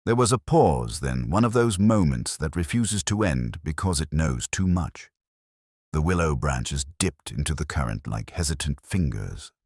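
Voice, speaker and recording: A man reading scripted first-person mystery narration in a measured, literary, melancholy manner. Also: a bass voice, lively intonation, a little mellow, breathy edge, dry recording, clean studio sound.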